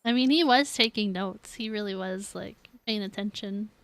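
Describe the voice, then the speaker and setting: A woman's voice: mid-range voice, normal speed, no echo. A woman streaming a game, giving casual, playful live commentary in a chatty way.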